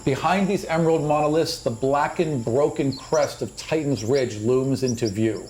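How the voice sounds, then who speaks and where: A man's voice: mid-range voice, normal speed, a little mellow. A man running a tabletop fantasy game as game master, mixing dramatic, descriptive narration with casual asides. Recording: clear background noise, insect ambience.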